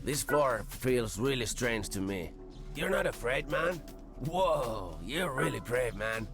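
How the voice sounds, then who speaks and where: Loud music surrounds a jittery video game guy, talking in lively, swooping tones. He is fearful and easily awed, repeating himself in excited, slangy bursts. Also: mid-range voice, takes it slowly. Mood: uneasy, impressed.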